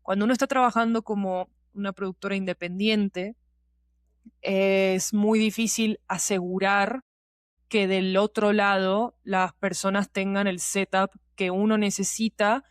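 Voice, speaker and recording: A woman's voice: mid-range voice, steady tone, a little slow, clean tone, dry recording. A woman with a Latin American Spanish accent that uses vos, talking casually as a podcast guest and checking in with her listener. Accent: Latin American Spanish with voseo. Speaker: guest, podcast fiction producer.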